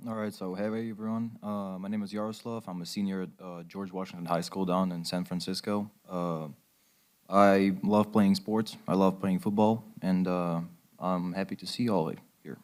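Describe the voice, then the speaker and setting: Deep-voiced casual young man. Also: moderate pace. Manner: casual, relaxed. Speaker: high school student.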